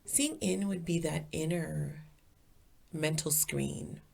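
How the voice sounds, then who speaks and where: A woman leading a guided meditation as a teacher, calm, soothing and deliberate, with a soft, breathy voice. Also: sits low.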